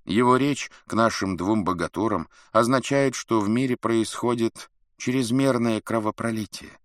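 A man's voice: medium pitch, moderate pace, no room sound, studio quality, voice pushed up, close to an everyday voice. A man voicing a wise court counsellor in a historical storybook, solemn and self-important, as if reciting a prayer. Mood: solemn, grave.

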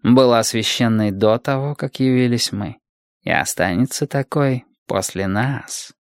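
A man reader plays an ancient, otherworldly male guardian in a storybook. His velvety voice is slow and slightly creaky, with calm, formal, deliberate phrasing. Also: medium pitch, dry recording, clean studio sound, lightly acted. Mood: calm, thoughtful.

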